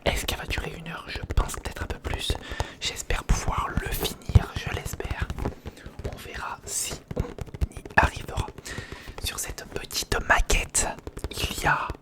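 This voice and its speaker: Whispering ASMR creator, close and intimate. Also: measured pace. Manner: whispering, addressing the viewer intimately and informally. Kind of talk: ASMR, whispered narration of a toy unboxing and build. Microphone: sensitive recorder microphone, close.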